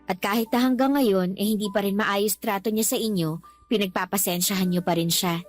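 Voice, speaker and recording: A woman's voice: mid-range voice, a little fast, natural voice. A woman playing an outspoken, aggrieved young adult daughter in a Filipino radio drama, with an earnest, complaining delivery. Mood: hurt, doubtful. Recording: faint music behind.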